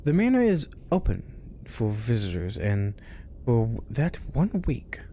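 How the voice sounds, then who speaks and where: A man telling spooky stories slowly and softly, often sinking into a whisper. His pitch swings up and down a lot, and a faint background hiss sits under his voice. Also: wildly swooping pitch. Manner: hushed, often dropping to a whisper. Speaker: storyteller host. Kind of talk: reading spooky stories aloud.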